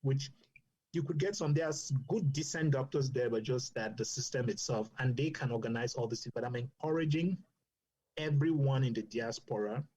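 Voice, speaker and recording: A man's voice: mid-range voice, normal speed, a little mellow, slightly rough, poor audio. A man from Cameroon, a doctor speaking earnestly as a podcast guest, often restarting his sentences. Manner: earnest, advocating, with frequent restarts. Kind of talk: earnest explanatory podcast talk.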